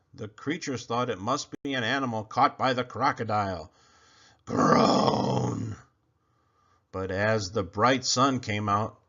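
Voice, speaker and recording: Man, children's read-aloud narrator, expressive, bright, lively pitch, dull recording. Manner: expressive, animated storytelling. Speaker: storyteller. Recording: slightly muffled recording.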